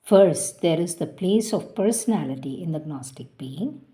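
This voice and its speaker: A woman giving a slow, reverent reading of a spiritual text, her phrases trailing off softly. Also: fairly low pitch, animated. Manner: measured, reverent, trailing softly at line ends. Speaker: devotional reader.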